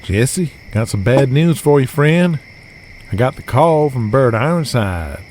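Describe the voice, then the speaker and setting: A man's voice: medium pitch, animated, unhurried, a little mellow, a little hiss or hum, pitched above the performer's own voice, natural voice. A man playing a folksy, teasing country wrestling manager with a Southern US accent and a drawling, colorful way of talking, in an audio drama. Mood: grave. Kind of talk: audio drama character acting.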